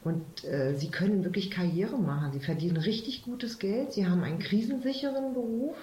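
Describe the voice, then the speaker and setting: A middle-aged woman from the Sauerland in Germany, a police officer, talking in a lively, chatty way with many fillers in an interview. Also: medium pitch, brisk, slight background noise. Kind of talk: casual interview talk. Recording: echoey room.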